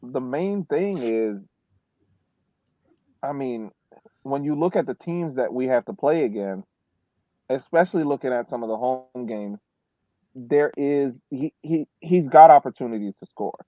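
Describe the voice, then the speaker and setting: A man giving casual sports analysis on a podcast, building his points deliberately over a thin call connection. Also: medium pitch, expressive, dry recording. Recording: dry, thin phone-like line.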